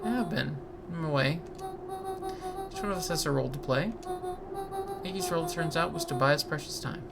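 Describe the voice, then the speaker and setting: A woman voicing an aloof, cryptic male creature who explains things in a measured, formal way for a game. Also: low-pitched, huge pitch swings, gravelly, full character voice. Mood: cryptic, grave. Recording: loud game music behind.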